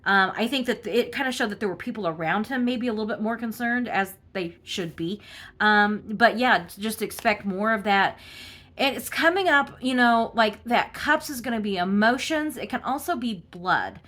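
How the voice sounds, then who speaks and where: A woman reading tarot cards in a casual, halting monologue full of fillers, sounding congested from a cold. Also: a little high, animated, a bit bright. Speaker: tarot reader.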